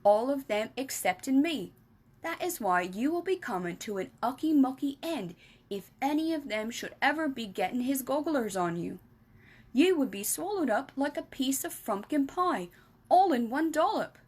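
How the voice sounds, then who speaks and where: A woman's voice: expressive, normal speed, darkish tone, husky. A woman reading aloud and voicing a big, kindly giant who mangles his grammar and invents words. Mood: emphatic. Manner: jumbled grammar, made-up words, booming. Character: big friendly giant, kindly, muddled speech.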